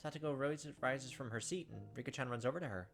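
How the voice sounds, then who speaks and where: Man, gaming host, middle pitch, narration reading, casual asides, faint background music. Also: fast, a bit bright. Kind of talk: reading visual novel narration with casual commentary.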